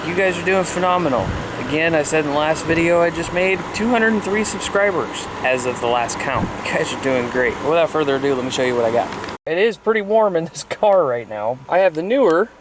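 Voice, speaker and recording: A man's voice: a little high, expressive, a little fast. A man, chatting casually and folksily to viewers on a handheld camera in and around a car. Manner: folksy, colloquial. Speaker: amateur CB radio vlogger. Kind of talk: casual vlog talk to viewers. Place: in a parked car and outdoors beside it. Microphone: handheld camera at arm's length. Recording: clearly noisy handheld camera audio.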